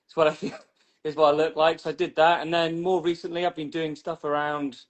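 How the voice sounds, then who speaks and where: Brief: British male comic, slightly high voice, rambling and self-deprecating on a video call. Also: level tone, brisk. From Newcastle, England. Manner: rambling, many "like" fillers, self-mocking. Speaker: stand-up comedian. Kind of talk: casual chat about his act.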